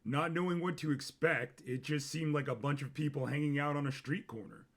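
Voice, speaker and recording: A man's voice: fairly high pitch, fairly even intonation, brisk, a bit bright. A man reading a scripted story as a dramatic narrator.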